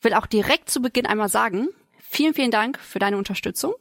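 A woman with a fairly high voice hosts a casual interview podcast. The sound is very dry and close, as in a studio. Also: fast, vocal fry, no room sound. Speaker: podcast host.

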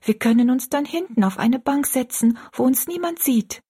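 A woman voicing an anxious, loving young daughter in a story, with a soft, childlike, pleading way of talking. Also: animated, moderate pace, slightly rough, exaggerated voice. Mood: protesting, hopeful. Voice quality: high, soft.

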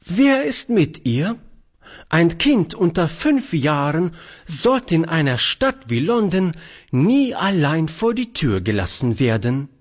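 A man's voice: fairly high pitch, sing-song, slow, voice pushed up, exaggerated voice. A man voicing a fussy, prim old spinster aunt who is easily alarmed.

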